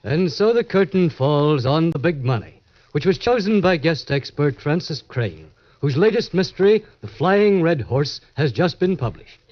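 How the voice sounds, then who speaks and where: A man's voice: on the high side, big pitch swings, moderate pace, a little hiss or hum. A man hosting a 1940s radio mystery show, delivering scripted lines in a formal, dramatic manner. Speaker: host. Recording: dull, lo-fi old radio recording.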